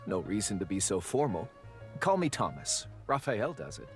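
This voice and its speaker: A man's voice: fairly low pitch, sing-song, normal speed, soft background music. A man voicing a friendly, courteous gallery manager in a video game, polite and affable.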